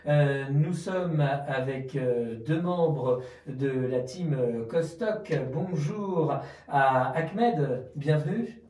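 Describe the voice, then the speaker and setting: Hesitant mellow male radio interviewer. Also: on the high side, very slow. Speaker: radio host interviewing athletes. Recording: roomy, slightly distant mic.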